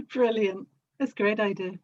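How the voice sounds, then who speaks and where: A woman's voice: a little high, very animated, measured pace. A British woman chatting casually as a podcast guest on slightly dull, band-limited audio. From United Kingdom. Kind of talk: casual conversation.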